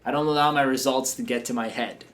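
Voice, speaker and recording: A man's voice: mid-range voice, fairly even intonation, fast, a bit bright. A man hosting a coaching show, talking in a confident, upbeat and joking way. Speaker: business coach and show host. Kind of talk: motivational coaching talk.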